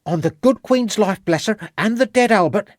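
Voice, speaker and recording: A man voicing a cheeky, self-important young servant boy in a storybook, high and eager, with rough ungrammatical speech. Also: lively intonation, moderate pace, no echo, studio quality. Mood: eager, insistent. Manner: colloquial, ungrammatical working-class speech, eager.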